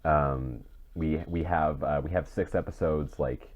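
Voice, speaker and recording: A man's voice: a bass voice, steady tone, unhurried, a little mellow. A man co-hosting a podcast in casual chat, rambling and often rephrasing himself as he goes. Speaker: podcast co-host and audio drama creator. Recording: dull, muffled mic with clear noise.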